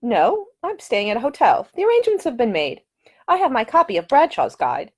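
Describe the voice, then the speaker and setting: A woman's voice: on the high side, wildly swooping pitch, fairly quick, a little mellow, voice pushed up. A woman voicing a cheerful, talkative, well-bred young Englishwoman traveller in an audiobook, eager and solicitous, with an upper-class English accent.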